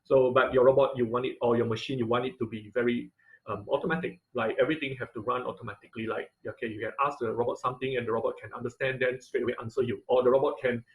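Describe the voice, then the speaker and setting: A man with an average-pitched voice teaches a live technical class online. His delivery is informal and halting, with repeated words and frequent little check-ins as he walks through each step. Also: little pitch movement, low-quality recording. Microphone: online video call.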